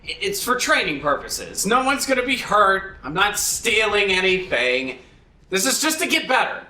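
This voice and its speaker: A man playing a tabletop roleplaying game, talking casually and in a rambling, animated way. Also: sits high, moderate pace. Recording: roomy, distant mic, faint noise.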